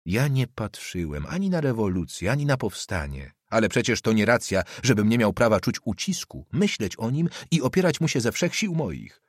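A man's voice: fairly low pitch, very animated, normal speed, no room sound, studio quality. A man voicing a fiery young male student who argues earnestly. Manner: earnest, heated.

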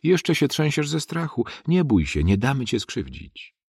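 A man voicing a fierce, protective mother who shouts down a crowd in a children's story. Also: medium pitch, big pitch swings, a little slow, creaky, husky, no room sound, studio quality, voice pushed up, full character voice. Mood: tender. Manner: scolding, shouting loudly. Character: fierce, protective mother, middle-aged woman.

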